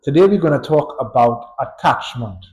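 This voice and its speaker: A man with a Trinidadian accent, a psychologist giving a thoughtful, unhurried educational talk with pauses and light chuckles. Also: medium pitch, expressive, a little mellow. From Trinidad and Tobago. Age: adult.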